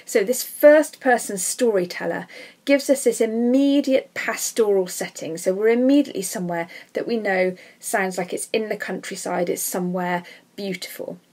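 A woman's voice: medium pitch, normal speed, darkish tone. A woman teaching English literature in an informal, explanatory lesson voice-over.

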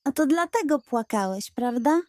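A woman's voice: high voice, expressive, normal speed, darkish tone, no room sound, voice pushed up. A woman voicing a curious, admiring young grandson in a children's storybook, with wide-eyed, eager questioning. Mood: gentle. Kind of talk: children's audio storybook character.

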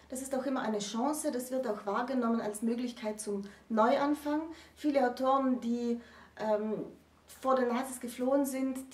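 A woman's voice: on the high side, darkish tone. A woman in her thirties hosting a conversational educational discussion, explaining articulately and posing questions. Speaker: host presenting a literature discussion. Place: small book-lined room, indoors. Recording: roomy sound, distant microphone.

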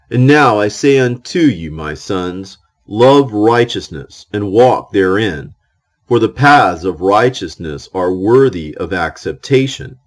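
A man reads ancient scripture as a solemn audiobook. His voice sits on the low side and moves very slowly, with deliberate pauses between phrases. The delivery is declamatory, rising and falling widely in pitch.

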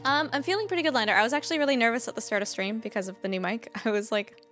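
A woman's voice: on the high side, racing, a bit bright, soft background music. A young woman streaming a flight game from home, chatting with viewers in a chatty, self-conscious way and laughing often. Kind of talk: casual chat with viewers while gaming. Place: home streaming room, indoors. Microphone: desk condenser mic.

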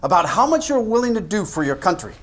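An American man playing a sharp, sardonic investigator in a game cutscene, needling and accusatory. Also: sits high, sing-song, fast. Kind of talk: dramatic game cutscene acting.

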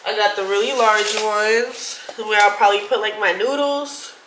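A woman's voice: mid-range voice, fairly even intonation, normal speed, echoey room, very noisy. A woman of about thirty with a stuffy nose, chatting casually to viewers about a shopping haul in her apartment. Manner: chatty, addresses viewers as y'all. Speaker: vlogger.

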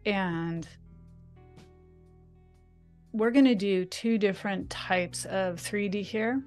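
A woman's voice: medium pitch, slow pace, a little mellow. Woman, enthusiastic tutorial guest on a video call, faint music bed. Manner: chatty, enthusiastic. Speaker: guest designer demonstrating software. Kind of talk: live screen-share tutorial.

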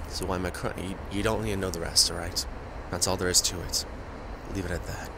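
A man playing a grieving famous musician in an audio drama, guilt-ridden, defensive and bitter, speaking in a halting confessional way. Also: deep voice, steady tone, noisy background, natural voice. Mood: heavy regret. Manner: halting, confessional, sighing pauses. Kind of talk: dramatic audio roleplay monologue.

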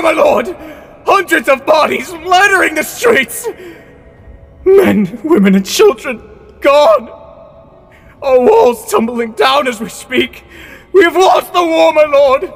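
Anguished male retainer, acted by a man, high and wildly animated, pleading in fantasy drama. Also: gravelly, heavily acted. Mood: outraged. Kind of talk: dramatic character demo reel acting. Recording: long reverb, music behind.

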